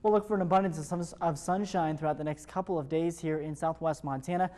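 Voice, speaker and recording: An American man delivers a television weather forecast at a brisk clip. His voice is fairly high for a man and softly mellow, and his delivery is chatty and upbeat, like an ad-libbed morning show segment. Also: fairly even intonation.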